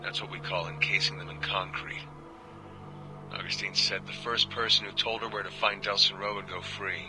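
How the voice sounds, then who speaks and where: Low-voiced man acting as a weary government agent. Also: steady tone, moderate pace, music in the background, natural voice, poor audio. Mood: grim warning. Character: world-weary male government agent secretly confiding troubled reports. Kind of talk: video game audio log monologue.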